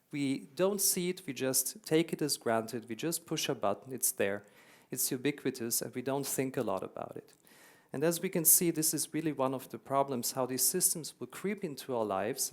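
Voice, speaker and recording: A man from Hungary who grew up in Germany, speaking with a German accent as he gives a conference keynote in a hall, earnest and explanatory, repeating words for emphasis. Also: medium pitch. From Hungary, raised in Germany.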